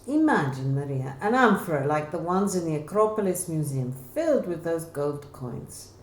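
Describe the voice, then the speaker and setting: A woman's voice: sits low, expressive, normal speed. A woman voicing a mature, caring Spanish singing teacher in a novel, advising earnestly and emphatically. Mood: insistent. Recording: roomy echo, faint background noise.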